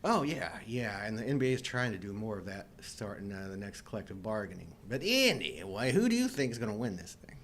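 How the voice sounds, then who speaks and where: A man's voice: lowish voice, very animated, brisk, hardly any pauses, a bit bright, slight background noise. A middle-aged man chatting casually as co-host of a live show. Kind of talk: casual chat.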